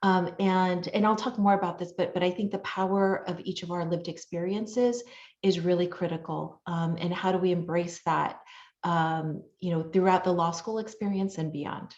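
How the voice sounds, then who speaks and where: A middle-aged woman speaking earnestly on a panel, measured and full of fillers. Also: fairly low pitch, fairly even intonation, a little mellow, reverberant, lo-fi sound. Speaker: panelist, labor studies teacher. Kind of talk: panel talk over video call.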